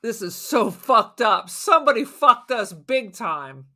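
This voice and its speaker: A woman acting as a jittery, suspicious robber in a crime audio drama. The voice is fairly high, bright and forceful, arguing emphatically and cursing freely. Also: big pitch swings, measured pace, full character voice. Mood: agitated, suspicious. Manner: ranting, emphatic, profane. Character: agitated, suspicious, fast-reasoning robber, foul-mouthed and paranoid.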